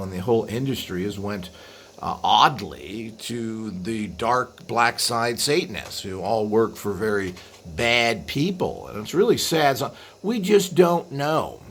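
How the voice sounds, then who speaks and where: A man hosting a video with an unscripted explanatory monologue, rambling and halting as he trails into asides. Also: lowish voice, a bit bright. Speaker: video host. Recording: clearly audible background noise.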